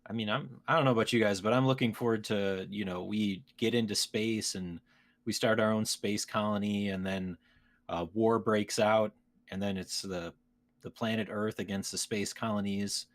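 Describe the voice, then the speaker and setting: A man's voice: on the low side, fairly even intonation, normal speed. A man hosting a casual podcast, chatting in a joking, playfully teasing way. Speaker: podcast host. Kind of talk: casual comedic podcast chat.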